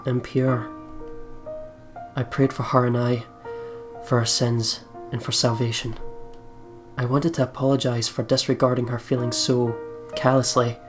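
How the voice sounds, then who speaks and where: A woman's voice: very deep voice, level tone, a little slow. A woman hosting a let's play, reading a visual novel aloud in a dramatic, reflective manner. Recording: game music behind.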